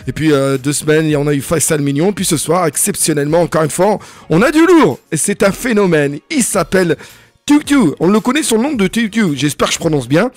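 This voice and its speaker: A male radio host with a slightly high voice, speaking from a dry, close studio. He opens his show with upbeat greetings, then settles into a halting manner full of fillers as he asks his questions. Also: expressive, dry recording, soft background music. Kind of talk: live radio interview.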